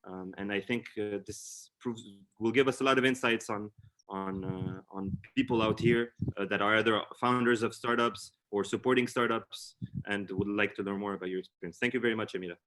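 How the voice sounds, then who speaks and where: A man hosting a webinar as interviewer, speaking semi-formally in a halting, hesitant way with many ums. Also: fairly low pitch, steady tone, a bit bright, rough recording.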